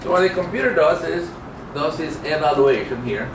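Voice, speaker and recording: A middle-aged man teaching programming in an informal lecture, speaking haltingly as he thinks aloud while demonstrating. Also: fairly high pitch, expressive. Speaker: programming instructor. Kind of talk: informal classroom lecture with live coding demo. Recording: roomy, distant mic, clear background noise.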